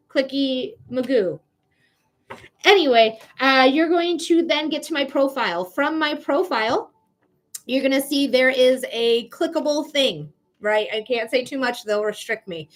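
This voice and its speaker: A bubbly, chatty woman with a Michigan accent hosting a live psychic reading stream, laughing often as she talks to viewers. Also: high voice. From Michigan, United States. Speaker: psychic reader hosting a livestream. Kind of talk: casual livestream chat.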